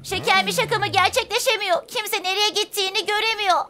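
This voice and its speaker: A woman's voice: very high-pitched, fairly even intonation, brisk, a crowd in the background. A woman voicing a small, nervous young car in a dubbed cartoon. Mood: worried. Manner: anxious, exclaiming. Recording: loud music under the voice.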